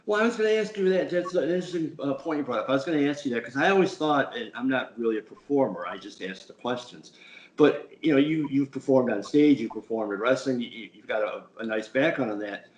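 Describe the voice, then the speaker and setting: A man's voice: medium pitch, lively intonation, fast talker, echoey room, a little hiss or hum, poor audio. Chatty, complimentary male interviewer. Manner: chatty and complimentary, with stumbling restarts. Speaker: interview host. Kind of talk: casual interview chat.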